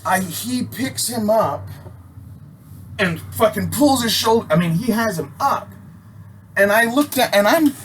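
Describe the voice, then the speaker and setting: Higher-voiced American man swearing through a story. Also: fairly bright tone, slight background noise. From Alaska, United States. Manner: profanity-heavy, emphatic, with abrupt stops and repeats. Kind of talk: casual personal storytelling, rambling.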